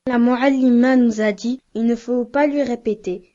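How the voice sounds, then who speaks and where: A woman's voice: a little high, fairly even intonation, moderate pace, mellow, lo-fi sound, close to an everyday voice. A woman voicing an earnest, conscientious schoolboy in an educational children's cartoon.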